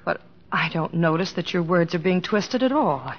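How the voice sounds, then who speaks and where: Actress as a devoted wife on dull old radio. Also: female voice, fairly low pitch, animated, moderate pace, close to an everyday voice. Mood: earnest coaxing. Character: devoted wife of a comedian, earnest, gently nagging, narrating their life. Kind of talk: 1950s radio drama narration and acting. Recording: dull vintage radio recording, faint music.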